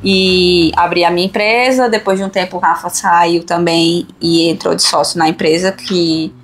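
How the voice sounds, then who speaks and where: A woman's voice: lowish voice, fairly even intonation, moderate pace. A woman hosting a casual podcast chat in an informal, teasing manner. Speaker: podcast host. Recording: music playing behind the voice. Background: music.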